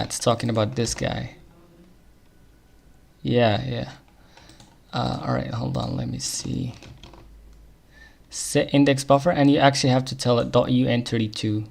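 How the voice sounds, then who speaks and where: Guy with an arabic accent livestreaming code, kinda gravelly voice, mumbling through errors to himself. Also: medium pitch. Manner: muttering to himself while debugging, quick chuckles. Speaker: programmer streaming live. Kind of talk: live coding stream, thinking aloud.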